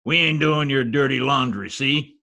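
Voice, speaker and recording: Man as a gravelly, blunt gangster boss. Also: mid-range voice, moderate pace. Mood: indignant, tough. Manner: tough, slangy, forceful. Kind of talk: 1940s radio crime drama acting. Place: home, recorded over video call.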